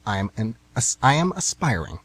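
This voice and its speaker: A man acts out a self-important fifty-something aspiring writer in a radio comedy. He declaims grandly and bristles at slights, with lively swings of pitch and a slightly husky voice. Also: moderate pace, exaggerated voice. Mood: indignant, lofty. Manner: pompous, grandiloquent, indignant. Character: pompous, defensive 52-year-old aspiring novelist man. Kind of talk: amateur radio comedy play acting.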